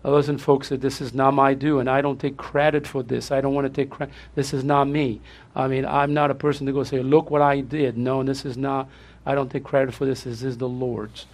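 A man's voice: medium pitch, level tone, a little fast. A man with a Portuguese accent, a pastor welcoming his church, effusive and repetitive, laughing often.

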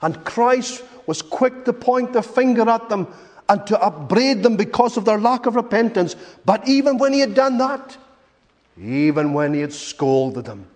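A man preaching a sermon in an emphatic, rhetorical way, with repeated phrases and dramatic pauses. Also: sits high, lively intonation. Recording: long reverberant hall echo.

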